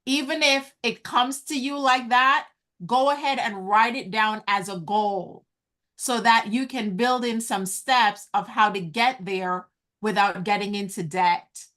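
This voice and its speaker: Slow, earnest woman coaching on a call. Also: a little high, a bit bright. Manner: earnest, encouraging, addresses listeners as guys. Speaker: business coach hosting an online event. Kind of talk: slow, deliberate teaching talk. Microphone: video call.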